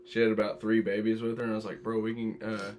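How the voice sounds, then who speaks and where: A man's voice: lowish voice, level tone, fast, darkish tone. A young man casually recounting anecdotes to friends, with faint noise behind him.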